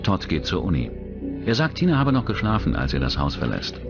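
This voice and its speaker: A man's voice: low voice, wildly swooping pitch, a little fast, highs cut off. A man reading scripted narration for a true-crime documentary, with music playing behind him.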